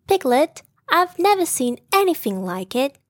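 A woman's voice: high-pitched, big pitch swings, unhurried, dry recording, studio quality, pitched above the performer's own voice. A woman voicing a young, earnest kangaroo child full of admiration in a bedtime storybook. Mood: admiring. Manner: earnest, wide-eyed, encouraging.

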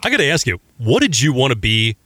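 A man's voice: medium pitch, big pitch swings, fast, sharp tone, no echo. A man hosting a podcast, introducing the episode and asking questions eagerly in a casual chat. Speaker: podcast host.

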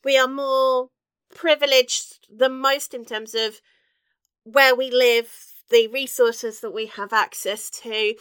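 Woman co-host, high bright voice, casual earnest chat. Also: steady tone, slow, smooth. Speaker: podcast co-host.

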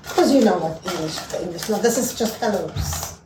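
A woman's voice: medium pitch, expressive, fairly quick, background noise. A South African woman whose first language is Zulu, co-hosting a casual podcast and teaching words in a playful, self-mocking way. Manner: playful and chatty, laughing at herself. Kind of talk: casual chat. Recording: roomy, distant mic, faint ambience.

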